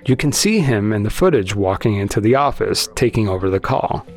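A man's voice: fairly low pitch, animated, brisk, a little mellow, faint music behind. A man hosting a podcast, telling a true-crime story in a conversational narration style. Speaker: podcast host.

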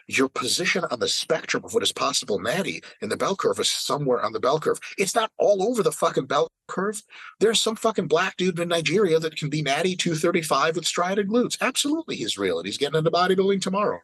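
A man's voice: fairly high pitch, very animated, fairly quick, fairly bright tone, slightly rough, no echo. A man in his late thirties in casual podcast chat, ranting with emphatic, profane energy. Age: 30s. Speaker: fitness podcast regular.

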